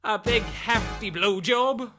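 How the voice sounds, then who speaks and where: A performer playing an excitable, mocking castaway in a comedy podcast, with a sarcastic, exclamatory way of talking. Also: mid-range voice, slow pace, lightly acted. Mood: flustered. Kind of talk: scripted comedy podcast acting. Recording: music behind the voice.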